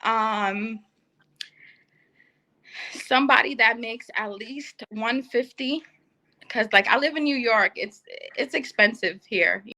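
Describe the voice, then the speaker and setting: A woman's voice: on the high side, takes it slowly, pauses often, bright, forceful tone, poor audio. Hesitant Trinidad-born woman in her thirties. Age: 30s. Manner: hesitant, with many ums. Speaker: guest caller on a matchmaking show. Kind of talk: video call interview. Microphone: video call.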